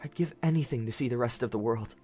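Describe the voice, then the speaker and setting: A woman playing a gentle, sheltered young forest man, shy and earnest, in an audio drama. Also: extremely low pitch, fast talker, slightly rough, faint music behind. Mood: longing. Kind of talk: fan audio drama acting.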